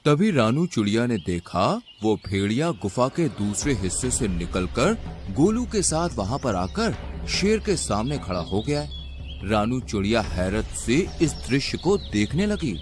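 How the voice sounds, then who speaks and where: A man's voice: mid-range voice, sing-song, a little slow, music in the background. A man narrating a scripted animal fable as a storyteller for a cartoon.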